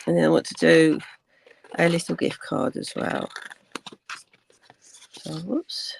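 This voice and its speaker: An older woman with a croaky voice, chatting casually through a craft tutorial, rambling with many ums and dropping to a whisper while she works. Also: deep voice, fairly even intonation, takes it slowly, slight background noise. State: croaky from cold weather. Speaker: crafting channel host.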